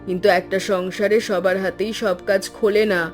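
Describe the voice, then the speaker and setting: An elderly grandmother from a family cartoon, played by a woman. She is gentle, ailing and doting with her family. Music plays behind her. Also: medium pitch, flat delivery, fairly quick, close to an everyday voice. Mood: resigned, kindly. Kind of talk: animated family story dialogue.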